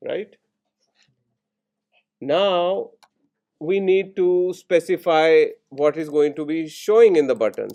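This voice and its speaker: A man lecturing in programming, explaining each step in short instructional phrases with pauses between them. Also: a little high, dark tone. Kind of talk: step-by-step classroom coding tutorial.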